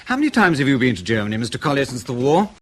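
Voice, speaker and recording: An actor playing a sceptical, supercilious British intelligence interrogator in a radio drama, clipped, sardonic and needling. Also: male voice, medium pitch, very animated, very fast. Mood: sceptical, probing.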